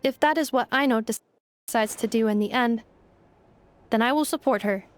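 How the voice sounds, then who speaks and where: An actress playing a polite, loyal robot assistant in a video game, with a formal, measured, deadpan delivery. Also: female voice, on the high side, soft background music, close to an everyday voice. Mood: flat, matter-of-fact. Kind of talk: video game dialogue acting.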